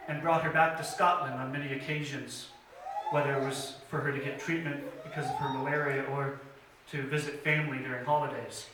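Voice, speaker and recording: A man telling a missionary's life story at a church story time, in an earnest, measured way with pauses and small fillers. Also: mid-range voice, level tone, noisy background. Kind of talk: children's story time retelling. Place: church sanctuary. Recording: very echoey church hall from a distance.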